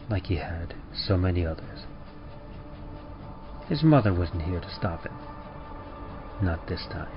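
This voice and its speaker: A man reading horror writing as a slow, measured, ominous dramatic narrator, in an old-time radio manner. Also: low-pitched. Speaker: podcast presenter reading horror fiction and poetry. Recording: dull lo-fi audio with clear noise.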